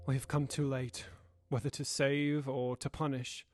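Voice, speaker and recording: A man's voice: mid-range voice, level tone, normal speed, soft background music, lightly acted. A man playing a determined Victorian lawyer in an audio drama, speaking in a formal, declamatory, old-fashioned way. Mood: grave, puzzled.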